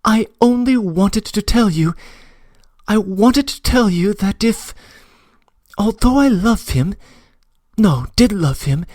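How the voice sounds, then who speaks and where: A man's voice: high-pitched, animated, slow, darkish tone, no echo, full character voice. A man voicing a passionate young woman who pleads earnestly, close to tears, in an audiobook reading. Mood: tearful pleading. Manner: trembling, earnest, breathless confession. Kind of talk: audiobook reading of a classic novel.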